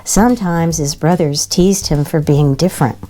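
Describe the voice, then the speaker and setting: A woman reading a children's picture book aloud as expressive narration. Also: low voice, normal speed, rough recording. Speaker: children's storybook reader.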